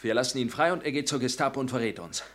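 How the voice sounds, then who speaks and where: A man's voice: medium pitch, lively intonation, racing, lightly acted. A man acting a stern young resistance leader who interrogates and commands, in a radio drama. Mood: commanding. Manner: commanding, interrogating, shouting in bursts. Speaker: radio play actor.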